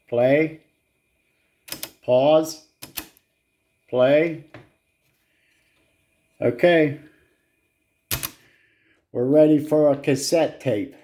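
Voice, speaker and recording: Slow-talking, mellow man muttering through a repair. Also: fairly high pitch. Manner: muttering, halting, talking to self in short phrases; casually profane. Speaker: hobbyist repairer filming own repair. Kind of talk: casual hands-on repair commentary. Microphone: handheld camera.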